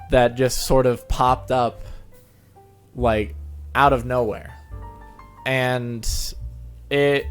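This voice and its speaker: A man co-hosting a casual interview chat about his channel, speaking conversationally. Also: mid-range voice. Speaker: co-host and narrator of a story-reading channel. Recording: background music under the voice.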